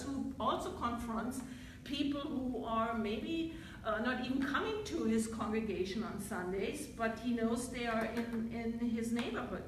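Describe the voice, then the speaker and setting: A German-accented woman gives an earnest scholarly talk, thinking aloud and correcting herself as she goes. Her voice sits a little high for a woman. She is picked up by a distant mic in a strongly echoing university room. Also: a little hiss or hum. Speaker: academic panelist, biblical scholar. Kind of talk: academic roundtable talk. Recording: very echoey room from far off.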